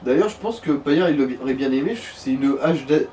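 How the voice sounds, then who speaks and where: A man's voice: mid-range voice, animated, fairly quick, warm tone, recorded from a distance, a little hiss or hum. A man bantering casually as a gameplay co-commentator, joking with absurd exaggeration. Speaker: let's play co-commentator.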